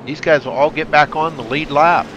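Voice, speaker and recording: Stuffed-up, excitable race commentator man. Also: on the high side, moderate pace, lo-fi sound, background noise. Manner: excitable, folksy play-by-play. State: stuffed up from allergies. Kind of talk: live race play-by-play commentary.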